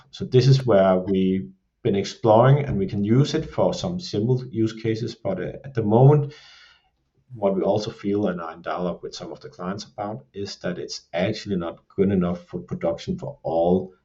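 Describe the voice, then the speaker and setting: A Danish man with a Danish accent, explaining production work in a measured podcast discussion and often saying "you can say". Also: low voice, dark tone, muffled recording. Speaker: creative production lead.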